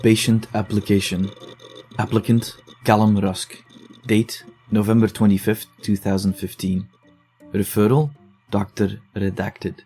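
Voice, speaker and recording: A man in an audio drama, calmly explaining findings and reading a patient's letter aloud. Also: on the low side, a little slow, soft background music. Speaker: investigator reading case files.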